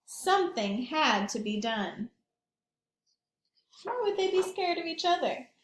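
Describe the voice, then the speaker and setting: A high, very lively woman's voice reads a picture book to small children in a roomy space. She takes her time and adds simple asides to explain the story. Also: measured pace, a little hiss or hum. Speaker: early childhood read-aloud storyteller.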